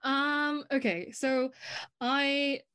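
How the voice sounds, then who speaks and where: A woman's voice: high voice, fairly even intonation, drawn-out words, sharp tone. A young woman from Hong Kong who speaks with an English accent. She talks casually as a podcast guest, with lots of "like" fillers and frequent laughs. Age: young adult. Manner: chatty, laughs often, many "like" fillers. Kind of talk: casual chat.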